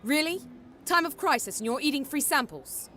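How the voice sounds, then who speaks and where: A woman's voice: sits high, animated, moderate pace, pushed and bright, faint music behind. A woman playing a bossy, feisty wizard ghost-hunter in a radio drama, sardonic and exclamatory. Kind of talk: fan radio drama acting.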